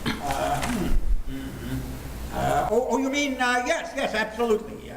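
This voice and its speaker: A man's voice: high voice, sing-song, unhurried, gravelly, full character voice. A man acting a stubborn, flustered father in an amateur 1940s-style radio comedy, hemming and stammering emphatically. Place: filmed stage performance in a room. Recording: echoey room, faint background noise.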